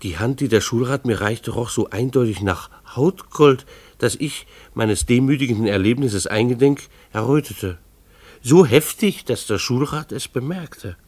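An actor playing a mild-mannered, beleaguered schoolteacher husband who narrates a German radio play in a wry, earnest, hesitant way. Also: male voice, medium pitch, a little slow, darkish tone, husky. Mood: wry narrating. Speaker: narrator and lead character.

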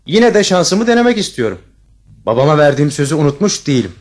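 A man's voice: on the high side, sing-song, fast. A man playing a brave, courteous young prince, earnest and determined, in an audio fairy tale. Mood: resolute.

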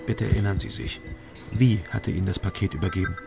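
A man narrating a crime story in first person and questioning witnesses calmly. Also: low voice, fast, vocal fry. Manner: calm, questioning. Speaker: narrator and detective protagonist. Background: music.

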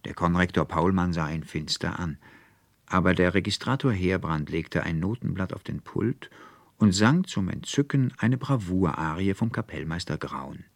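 A man narrating a literary fairy tale in a measured, expressive storytelling manner. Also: deep voice, dry recording. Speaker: audiobook narrator.

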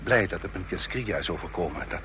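An actor playing a wily old double agent who poses as a frail salesman, with halting, stammering delivery, in a radio drama. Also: low-pitched, animated. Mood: polite, relieved. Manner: halting, stammering, breathy gasps. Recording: noisy old radio play recording.

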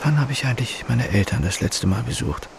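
A man's voice: medium pitch, brisk, vocal fry, background noise, lightly acted. An actor playing a brooding male detective, musing introspectively as he narrates his thoughts in a radio drama.

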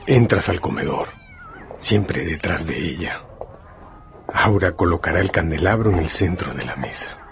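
Very deep male story narrator. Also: lively intonation, normal speed, background noise. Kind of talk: scripted second-person radio drama narration.

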